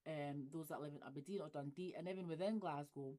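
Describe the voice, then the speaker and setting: A woman's voice: deep voice, quick pace, warm tone. A woman with a Glaswegian accent chatting casually on her channel, laughing often and playing with example phrases. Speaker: YouTuber. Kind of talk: casual vlog chat.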